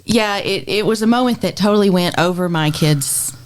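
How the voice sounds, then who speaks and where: A mother on a podcast recounting a family moment in relaxed conversation, thoughtful and halting, with many fillers. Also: mid-range voice, rough recording. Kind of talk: casual chat, storytelling.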